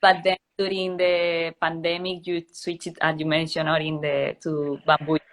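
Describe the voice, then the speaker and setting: A woman's voice: low voice, level tone, a little slow. A woman hosting an interview, asking questions and encouraging her guests with frequent short replies. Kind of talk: casual interview talk.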